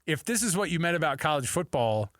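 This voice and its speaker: A man's voice: a little high, big pitch swings, fast talker, a bit bright, no echo. A man hosting a sports radio show in a casual, folksy, chatty way, close on a studio microphone.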